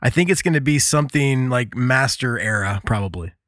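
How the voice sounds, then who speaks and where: A man's voice: mid-range voice, lively intonation, a little fast, a bit bright, no room sound. Chummy male host, dry close sound. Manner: informal, chummy. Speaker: show host. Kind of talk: casual chat.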